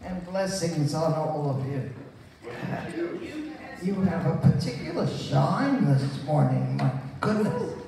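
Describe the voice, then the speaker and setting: An elderly woman reverend addressing a congregation and praying in a gentle, measured way. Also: low-pitched, expressive, vocal fry, crowd noise. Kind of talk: church greeting and prayer reading. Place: small church sanctuary. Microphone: handheld microphone, filmed from audience. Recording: echoey hall, distant, noisy.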